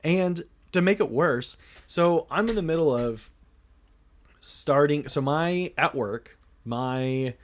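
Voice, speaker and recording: A man's voice: a little high, slow pace. A man co-hosting a podcast in casual chat, laid-back and casually profane. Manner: laid-back, swears casually, laughs between phrases. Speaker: podcast co-host.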